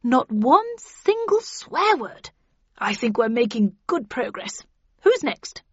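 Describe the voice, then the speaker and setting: Narrator as a prim woman counsellor, encouraging, weary, husky, audiobook character, muffled recording. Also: a little high, very animated, slow pace, dry recording, voice pushed up, exaggerated voice. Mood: brisk, encouraging.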